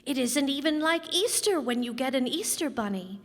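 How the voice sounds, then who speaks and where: A woman's voice: sits high, expressive, moderate pace. A woman playing an earnest, dutiful nine-year-old girl in an old-time radio sitcom, with a singsong, literal-minded way of speaking. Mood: earnest reciting. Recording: echoey hall, live stage performance.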